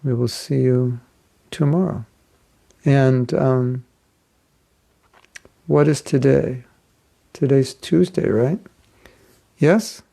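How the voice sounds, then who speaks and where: A man's voice: mid-range voice, extremely slow, creaky, pauses often, mellow. A man giving a casual, joking class as a spiritual teacher, chatty and rambling. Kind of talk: casual online class talk.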